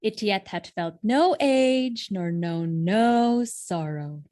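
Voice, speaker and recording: An actress playing a devoted, innocent noble wife, earnest and pleading, in a Shakespearean audio drama. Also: female voice, medium pitch, animated, slow, warm tone. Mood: affectionate, playful.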